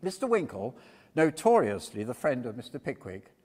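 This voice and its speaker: Animated male storyteller in reverberant church. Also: mid-range voice, normal speed. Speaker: reader of literary scenes. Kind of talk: dramatic stage reading, storytelling. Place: church, before an audience.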